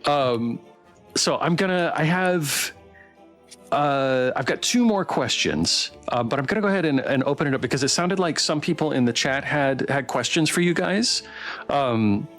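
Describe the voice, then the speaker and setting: A man's voice: a little high, expressive, normal speed, a bit bright, faint music behind. A man hosting a casual livestream developer Q&A, interviewing guests in an encouraging, affirming way.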